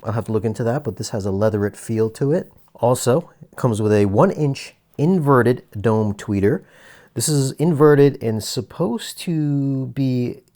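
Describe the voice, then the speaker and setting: Low-voiced man giving a casual product review. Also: a little mellow. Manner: conversational, explanatory. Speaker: audio gear reviewer.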